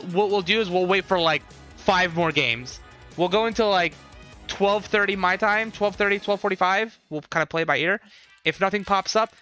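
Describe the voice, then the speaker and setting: A man's voice: high-pitched, sharp tone. A man in casual live stream chat, thinking aloud and talking with his viewers as he reacts.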